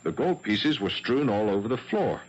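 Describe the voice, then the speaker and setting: A big, earnest young French cop in a 1970s radio mystery. His voice is husky and swings up and down as he puzzles things out in a plodding way. The old broadcast sounds a little dull. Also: on the low side, big pitch swings, moderate pace. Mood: grim, puzzled. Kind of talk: 1970s radio drama acting.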